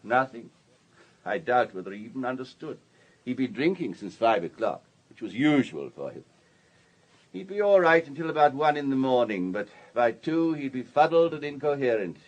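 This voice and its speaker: A man's voice: medium pitch, sing-song, moderate pace. An actor in a radio drama playing an urbane, wealthy businessman with a polished, courteous, storytelling manner. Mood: confiding, measured. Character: urbane, wealthy older businessman, discreet and self-assured.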